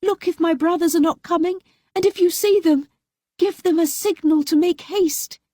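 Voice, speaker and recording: A woman voicing a terrified young wife who pleads for her life in a high, desperate voice. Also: moderate pace, warm tone, no room sound, studio quality.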